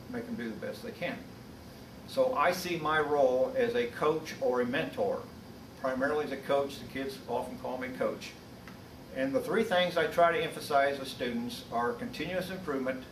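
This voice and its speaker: A man from the US Midwest speaking as a college instructor, giving a prepared introduction in a measured, earnest way. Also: mid-range voice. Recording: distant mic, roomy echo, noisy background.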